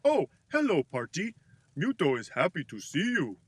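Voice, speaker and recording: Give this to a sweet, simple-minded little creature in an audio comedy. The voice sits fairly high and swings widely with glee. It gushes innocently about itself in the third person, over faint background noise. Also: male voice, wildly swooping pitch, moderate pace. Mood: sweet, delighted. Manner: speaks of himself in third person, naive, gushing. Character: cheerful, childlike, sweet-toothed creature playing Scrooge.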